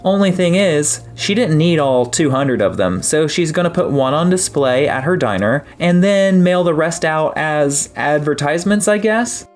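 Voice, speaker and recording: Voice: mid-range voice, animated, moderate pace, few pauses, voice pushed up, lightly acted. A narrator voicing an upbeat, joking father in his twenties in an audiobook. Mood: chatty. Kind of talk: audiobook character dialogue. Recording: music behind the voice.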